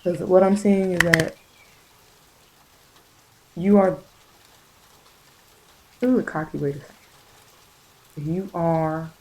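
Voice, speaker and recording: Lady doing asmr tarot, kinda low and really mellow voice, halting and repeats herself, reassuring spiritual stuff. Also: low-quality recording. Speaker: tarot reader. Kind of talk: soft ASMR tarot reading, spiritual guidance.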